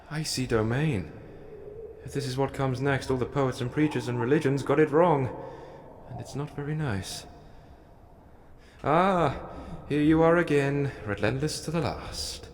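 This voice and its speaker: A man's voice: mid-range voice, unhurried, natural voice. A man playing a guilt-ridden, fatalistic man hunted by a monster, speaking in a formal, theatrical audio drama manner. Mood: bewildered. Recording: faint background noise.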